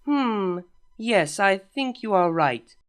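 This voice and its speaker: A dry, formal princess mare, as a man puts her on in a storybook reading. She drawls each phrase slowly, with a swooping, lively pitch. Faint music plays behind her. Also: clear, resonant tone, full character voice. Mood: musing. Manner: slow, formal and dismissive.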